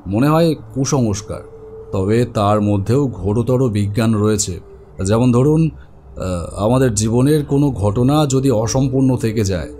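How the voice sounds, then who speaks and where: A man voicing a learned psychiatrist who explains things to a patient in a deliberate, measured way. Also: mid-range voice, dark tone, slight background noise, close to an everyday voice. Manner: deliberate, explanatory, hesitant hums.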